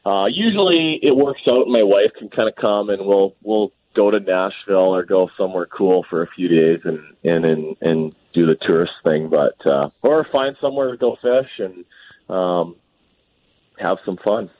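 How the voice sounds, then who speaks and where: A Canadian man in his thirties, hoarse and scratchy from a cold, talking casually and rambling a bit as a guest. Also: low-pitched, lively intonation, normal speed. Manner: rambling, easygoing, restarts often. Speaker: professional bass angler, guest. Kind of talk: casual phone interview. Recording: tinny phone line.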